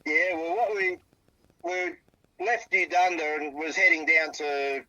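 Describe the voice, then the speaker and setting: An Australian man tells his story over a phone line. His voice is very bright and sharp. He stops and starts, often repeating words as he goes. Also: fairly high pitch, expressive, creaky, no room sound. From South Australia. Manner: halting, repeats words while recounting. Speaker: interviewee, eyewitness. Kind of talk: phone interview. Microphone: phone on speaker.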